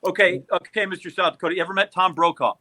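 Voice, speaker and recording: An American man co-hosting a podcast with casual banter and quick questions, delivered in a dry, teasing manner. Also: sits high, fairly even intonation, sharp tone. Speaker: podcast co-host.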